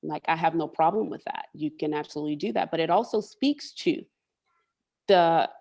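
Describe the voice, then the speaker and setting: Low-voiced woman explaining earnestly. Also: a little mellow. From Southern United States. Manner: earnest, explanatory, repeats words while thinking. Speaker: interview guest, tech leader and coach. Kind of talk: candid interview conversation.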